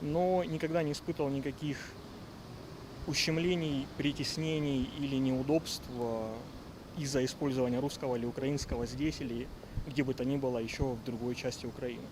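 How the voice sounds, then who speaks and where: Brief: Ukrainian man, measured interview answer, street noise behind him. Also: mid-range voice, fairly even intonation.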